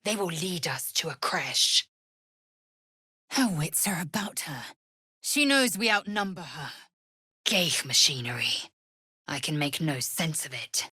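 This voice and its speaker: A haughty, militant young alien warrior woman for a video game. Her voice is low, husky and breathy, recorded close and dry. She speaks slowly and formally, with imperious, clipped disdain. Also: animated, pushed and bright, dry recording, exaggerated voice. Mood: stern, haughty.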